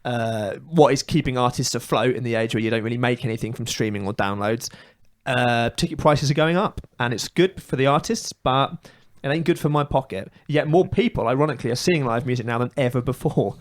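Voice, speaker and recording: British male podcast co-host, colloquial and opinionated, jokey grumbling, middling pitch, close dry recording. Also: brisk, hardly any pauses, no room sound. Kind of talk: casual opinionated banter.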